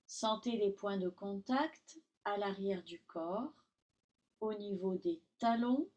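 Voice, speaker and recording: A woman yoga teacher guiding a relaxation session in calm, instructional short phrases with long pauses. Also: mid-range voice, lo-fi sound. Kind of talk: guided Yoga Nidra relaxation.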